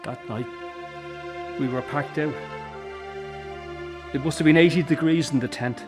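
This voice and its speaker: An actor in a radio drama playing a weary, earnest circus boss and troubled son, with an Irish accent. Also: male voice, medium pitch, measured pace, over music, lightly acted. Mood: grieving, haunted.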